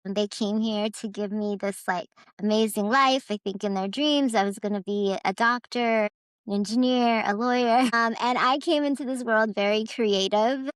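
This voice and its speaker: A woman's voice: a little high, normal speed, clean tone, dry recording. A young adult woman, born in Iran and raised in the US, talking earnestly as a podcast guest, with many fillers and restarts. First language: Farsi. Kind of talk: casual conversation.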